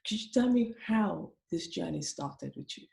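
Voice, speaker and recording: A woman's voice: fairly low pitch, lively intonation, moderate pace, gravelly, a little mellow, roomy sound. A woman from Africa hosting an interview, speaking earnestly and emphatically, often repeating herself for stress. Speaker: host. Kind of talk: interview conversation.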